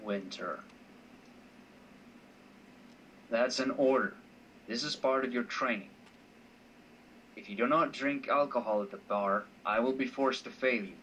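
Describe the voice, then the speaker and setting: A man playing a teasing, self-assured mentor in a visual novel, speaking in a measured, insistent, wryly patronising way. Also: medium pitch, a bit bright, muffled recording, close to an everyday voice. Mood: stern. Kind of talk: amateur voice acting of a visual novel. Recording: distant mic with faint noise.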